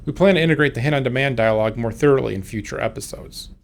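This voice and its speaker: A man's voice: mid-range voice, racing, creaky. A man who works as a game developer, giving scripted commentary on design.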